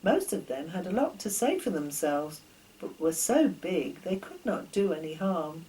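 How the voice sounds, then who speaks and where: A woman's voice: lowish voice, big pitch swings, unhurried, mellow, a little hiss or hum, rough recording. An older woman reading her own children's story as a narrator, expressively, posing questions to the listener.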